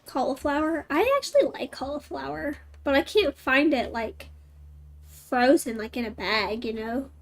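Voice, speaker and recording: A woman's voice: high-pitched, normal speed, slight background noise. A woman chatting casually and informally in a rambling way while she plays a game. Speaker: game streamer. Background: game music.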